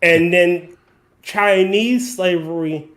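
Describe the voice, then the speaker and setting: A man's voice: sits high, slow, a bit bright. A man hosting a casual podcast chat, blunt and swearing freely as he explains his point at length. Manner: blunt, swears freely, lectures in long runs. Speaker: podcast host.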